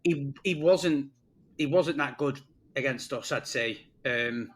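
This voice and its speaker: A man's voice: medium pitch, slow. Guy co-hosting a fantasy football pod, chatty and laid back. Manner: chatty, relaxed storyteller. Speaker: fantasy football podcast co-host. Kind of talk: casual chat.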